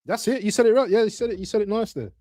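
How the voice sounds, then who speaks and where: A man co-hosting a football stream over a video call with his camera off, chatting casually, joking, and giving shout-outs. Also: high-pitched, very fast, dry recording, clean studio sound. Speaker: co-host on the stream.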